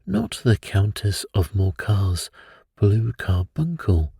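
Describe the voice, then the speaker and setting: A man voicing an earnest, polite gentleman doctor, a detective's companion, in a bedtime story. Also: on the low side, sing-song, slow, creaky, husky, dry recording, clean studio sound, full character voice. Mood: astonished.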